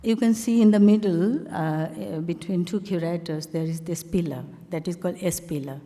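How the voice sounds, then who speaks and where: A woman from Bangladesh with a Bangladeshi accent, an artist telling the story behind her slides in a halting, conversational way full of fillers. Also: fairly low pitch, warm tone, long reverb tail. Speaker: artist presenting her work on a panel. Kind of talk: conversational talk walking through slides.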